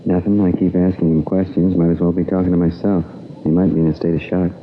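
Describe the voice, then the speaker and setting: A man's voice: sits low, level tone, fast, natural voice. An American man acting a plain-spoken, weary police detective in an old radio drama. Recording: tinny, hissy old radio recording.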